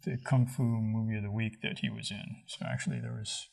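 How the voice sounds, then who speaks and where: A man being interviewed as a stunt coordinator, answering in a relaxed, rambling way with many fillers. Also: lowish voice, a little fast, gravelly.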